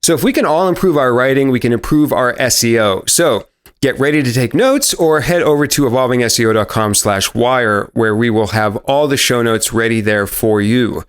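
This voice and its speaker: A man hosting a podcast, upbeat and friendly as he puts questions to his guest. His voice sits in an ordinary middle pitch. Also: moderate pace, clean tone. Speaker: podcast host. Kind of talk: podcast interview.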